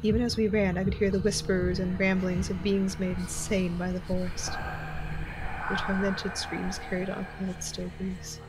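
A woman's voice: medium pitch, level tone, normal speed, close to an everyday voice, poor audio. A woman playing a tense, watchful young intelligence agent, narrating her own story in an audio drama. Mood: grim, tense. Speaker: narrator and lead character. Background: music.

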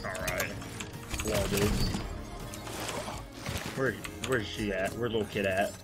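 A man's voice: lowish voice, steady tone, slow pace, over loud music. A young man, a gaming streamer, giving casual, sweary, slangy commentary as he plays. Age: young adult. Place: indoors at a computer.

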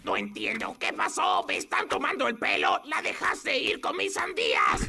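An actor voicing a bossy, greedy, scheming mouse in a cartoon, with a creaky voice and imperious, blustering delivery. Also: medium pitch, huge pitch swings, normal speed. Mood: outraged. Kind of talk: animated cartoon dubbing. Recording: loud music behind.

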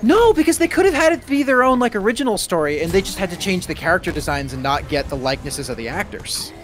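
A man with a high, very animated voice chats casually while streaming a game. He thinks aloud and shares opinions, with a little game noise in the background. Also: fast talker. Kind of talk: casual chat over gameplay. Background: video game sounds.

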